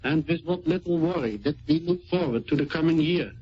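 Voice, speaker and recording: A man's voice: a little high, a little fast, breathy edge. A Dutch man with a Dutch accent, a navy sailor reading a scripted wartime radio address in the 1940s. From Rotterdam, Netherlands. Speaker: sailor of the Royal Netherlands Navy. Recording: noisy muffled 1940s radio recording.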